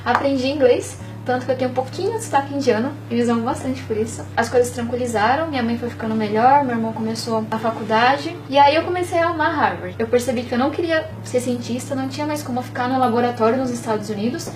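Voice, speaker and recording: A young woman from Bahia in Brazil, talking in a casual interview, animated and often tagging on "sabe?". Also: fairly high pitch, far from the mic, soft background music, poor audio. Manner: fast, animated, peppered with "sabe?". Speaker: interview guest.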